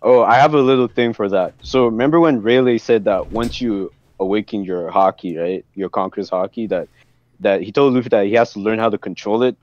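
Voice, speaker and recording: A man's voice: lowish voice, fairly quick, darkish tone. A man in a casual, slangy fan debate over online voice chat, swearing freely. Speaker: participant in an anime fan group discussion. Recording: muffled lo-fi voice chat.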